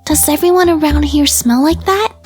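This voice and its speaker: A woman's voice: high voice, normal speed, lightly acted. A woman voicing a guarded, anxious and protective female pet animal in a visual novel. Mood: wary. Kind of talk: visual novel character acting. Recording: music clearly behind the voice.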